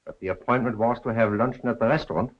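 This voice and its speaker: An actor playing a stern, suspicious foreign conspirator with a formal, clipped manner in a 1930s film. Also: male voice, mid-range voice, fast, close to an everyday voice. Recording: muffled 1930s film soundtrack.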